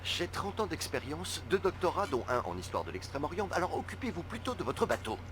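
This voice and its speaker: A man's voice: a little high, very animated, fairly quick, background noise. An actor playing a pompous, indignant middle-aged male academic in video game dialogue. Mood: pompous, affronted. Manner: pompous, affronted.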